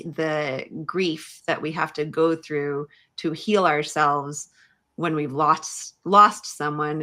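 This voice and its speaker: A woman's voice: low-pitched, bright, forceful tone. A woman hosting a conversational livestream interview, putting questions in a thoughtful, careful way. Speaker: podcast host, interviewer.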